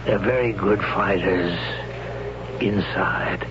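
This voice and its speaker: A grave, stern mentor speaks slowly and deliberately in a lowish voice. The old radio recording is dull, with steady noise behind. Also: male voice. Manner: stern, measured. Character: grave mentor called Death, stern teacher. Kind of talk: 1970s radio drama acting.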